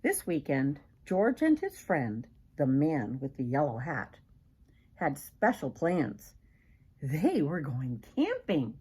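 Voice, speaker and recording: A woman's voice: deep voice, huge pitch swings, slow, darkish tone, slightly rough. An older woman reading a children's picture book aloud expressively, as a grandmother would, with questions to the young listener.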